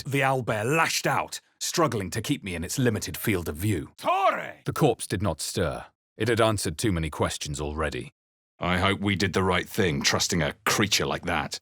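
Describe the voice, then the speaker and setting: A male actor playing a grave, brooding adventurer in a fantasy video game, with husky, measured, dramatic delivery. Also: on the low side, a bit bright, no room sound, studio quality, heavily acted. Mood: forceful, incanting, then wary, dry. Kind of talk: scripted fantasy game narration, character lines and spell incantations.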